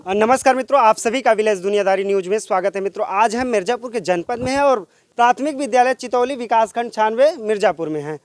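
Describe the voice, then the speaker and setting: A man's voice: high voice, quick pace, no room sound. A man from presenting a village news report outdoors at a primary school, speaking in a formal, flowery style.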